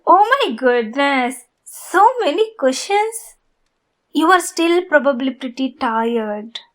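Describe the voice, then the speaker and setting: Woman acting a slow, high-voiced, obsessed yandere girl. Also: lively intonation. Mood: lovesick, teasing.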